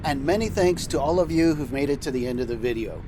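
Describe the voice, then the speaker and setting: Male trucker-captain host, slightly high voice, casual and folksy, loud vehicle noise throughout. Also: lively intonation, fast. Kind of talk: semi-scripted casual show banter.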